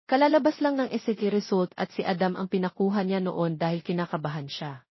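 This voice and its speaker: A woman's voice: on the low side, a little fast, slightly rough, no echo. A woman with a Filipino accent reading a scripted romance audio story as its narrator. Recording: dull, lo-fi but dry recording.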